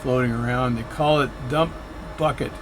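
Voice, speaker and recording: A man's voice: medium pitch, fairly even intonation, normal speed, slight background noise. Guy rambling casually through a flight sim run, keeps correcting himself, ac humming behind. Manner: rambling, self-correcting, chatty. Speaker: flight sim video creator. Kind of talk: casual narration while playing a flight sim.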